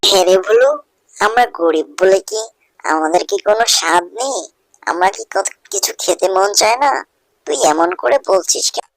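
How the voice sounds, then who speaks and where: A woman voicing a poor, wistful young village girl in a cartoon story, with a plaintive, expressive delivery. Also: mid-range voice, moderate pace, no room sound, lightly acted. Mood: hurt, defiant. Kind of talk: Bengali cartoon story dubbing.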